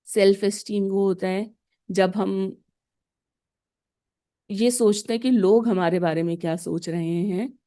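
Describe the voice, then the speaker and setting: Mellow woman guiding meditation. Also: medium pitch. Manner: calm, deliberate, with pauses between short phrases. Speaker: guided meditation teacher. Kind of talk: guided meditation, slow instructions in short phrases.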